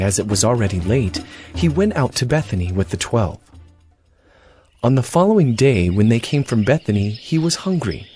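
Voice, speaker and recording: A man narrating a dramatized scripture reading in a steady, even way. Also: medium pitch. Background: music.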